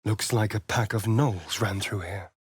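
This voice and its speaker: An actor voicing a sardonic, foppish, flirtatious vampire spawn in a video game, with an arch, theatrical, languid drawl. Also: male voice, lowish voice, unhurried, husky, dry recording, clean studio sound. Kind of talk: video game character lines.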